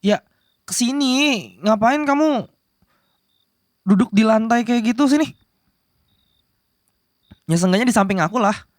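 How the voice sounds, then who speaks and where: A man playing a young demon prince in a romantic ASMR roleplay, soft-hearted but bossy, with flustered, possessive tsundere manners. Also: extremely high pitch, animated, a little slow, pauses often, no room sound, studio quality. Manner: stammering, flustered denials, possessive commands.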